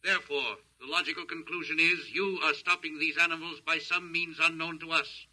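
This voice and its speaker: Cold, sharp-voiced alien captor. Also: male voice, fairly high pitch, steady tone, a little fast, rough recording. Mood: cold, insistent. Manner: stilted, formal, literal phrasing. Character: cold, logical alien captor, emotionless and menacing. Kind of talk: 1950s radio science-fiction acting. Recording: vintage 1950s radio recording.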